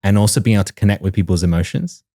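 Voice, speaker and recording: A man hosts a relaxed interview, affirming his guest and repeating her words back to her. His voice is mellow, and the sound is dry and close. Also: on the low side, rapid-fire, no echo. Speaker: interview host. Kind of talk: casual interview talk.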